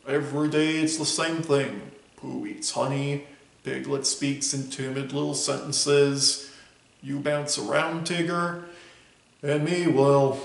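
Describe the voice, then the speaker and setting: A man voicing a gloomy old male donkey who talks in a slow, resigned, mournful way. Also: a little high, vocal fry, voice pushed down. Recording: roomy echo.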